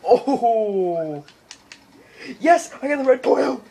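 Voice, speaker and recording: A man giving casual let's play commentary in an excitable way, often repeating his phrases. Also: extremely high pitch, drawn-out words, gravelly, a little hiss or hum. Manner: excitable, repeats phrases, mimics game sounds. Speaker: video game player and commentator. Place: indoor room at home, in front of a TV. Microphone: handheld camera or phone mic. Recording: handheld camera, game music in background.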